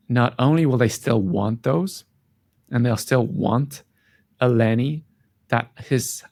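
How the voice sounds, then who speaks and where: A man's voice: mid-range voice, vocal fry, darkish tone, no room sound. A man giving earnest, reflective and emphatic answers as a guest in a conversational interview. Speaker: interview guest, tech company founder and CEO.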